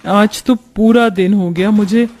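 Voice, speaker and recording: A man's voice: high voice, fairly even intonation, a little slow, a little mellow. A man voicing a young new bride, plaintive and dutiful, in a cartoon family story. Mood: weary complaining. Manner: plaintive grumbling.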